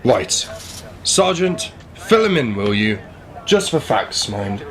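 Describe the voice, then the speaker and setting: A man's voice: on the low side, very animated, takes it slowly, fairly bright tone, heavily acted. A man playing a curt, irritable detective inspector in a radio play, dismissive and used to being in charge. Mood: irritated, dismissive. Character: brusque, irritable male police detective inspector.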